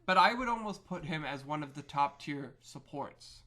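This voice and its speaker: A man's voice: a little high, lively intonation, normal speed, pushed and bright. A man co-hosting a gaming podcast, casually trading opinions on game strategy. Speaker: podcast co-host.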